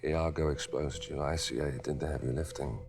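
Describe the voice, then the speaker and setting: An actor playing a cold, calculating schemer, with a gravelly voice and a deliberate, terse, quietly threatening delivery. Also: male voice, fairly even intonation, over music. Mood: calm threat. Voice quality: very deep, gravelly. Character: cold, menacing, calculating male shadowy schemer.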